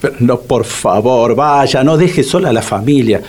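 An actor playing a grumbling, exasperated but soft-hearted cook with an Argentine accent in a comedic radio play. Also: male voice, medium pitch, normal speed, natural voice. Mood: grudging sympathy. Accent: Argentine Rioplatense. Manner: exasperated, complaining. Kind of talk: comedic radio theatre acting.

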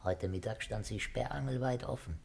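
Actor as a creaky, watchful male companion. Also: mid-range voice, moderate pace, darkish tone, close to an everyday voice. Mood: observant. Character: watchful, curious male companion, hushed and wary. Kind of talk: 1950s German radio drama acting. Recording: noisy 1950s radio drama recording.

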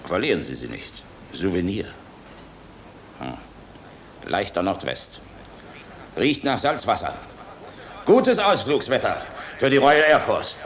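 An actor playing a weary, resolute air force general in a German radio drama, grave and clipped. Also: male voice, on the low side, sing-song, slow, pauses often, fairly bright tone, exaggerated voice, rough recording. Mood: gruff, fatherly.

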